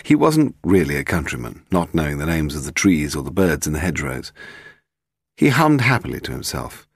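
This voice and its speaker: Male audiobook narrator for crime fiction: very low register, some gravel, scripted read with animated intonation. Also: a little fast, no echo, studio quality. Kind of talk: scripted narration of a detective novel.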